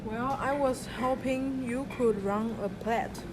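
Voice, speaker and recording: A woman's voice: a little high, slow pace, noisy background. A woman playing an eager young female rabbit police officer in a student radio drama, urgent and impatient.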